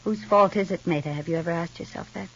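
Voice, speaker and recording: A woman's voice: fairly low pitch, fast talker. An actress in an old radio soap opera playing a resentful, defensive mature single woman with pointed retorts. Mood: bitter, suspicious. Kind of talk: 1940s-50s radio soap opera acting. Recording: muffled old radio recording.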